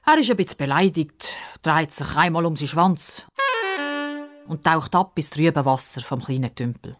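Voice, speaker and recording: A woman's voice: low-pitched, animated, moderate pace, faint music behind. A woman narrating a scripted story for children.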